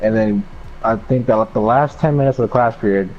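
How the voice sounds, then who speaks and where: A man's voice: fairly low pitch, a little fast. A young American man casually telling an anecdote to a friend in a slangy, sweary, rambling way. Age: young adult. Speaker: storyteller. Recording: dull lo-fi mic, faint background noise.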